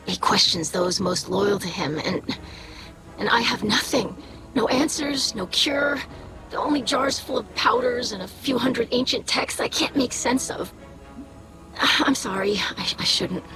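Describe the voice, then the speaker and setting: A woman's voice: mid-range voice, lightly acted, low-quality recording. An actress playing an anxious, earnest young female alien scientist in a video game, speaking formally with pleading intensity. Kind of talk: video game character acting.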